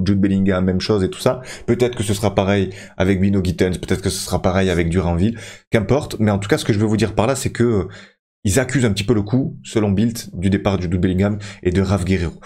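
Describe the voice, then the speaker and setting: A man's voice: low voice, darkish tone. A man in his thirties casually commentating his football career game for viewers, chatty and full of fillers. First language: French. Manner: chatty, addresses viewers as friends, many fillers. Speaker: gaming YouTuber.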